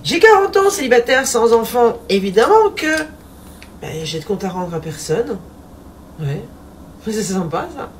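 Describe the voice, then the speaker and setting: A woman's voice: fairly high pitch, recorded from a distance, slight background noise. A woman in her forties talking in a playful, chatty way, repeating a refrain and laughing often. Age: 40s. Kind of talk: casual monologue.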